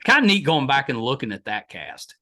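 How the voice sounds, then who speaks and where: A man's voice: mid-range voice, big pitch swings, brisk, sharp tone. A man hosting a podcast interview, chatty and enthusiastic, often restarting his sentences. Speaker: podcast host. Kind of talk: casual interview chat.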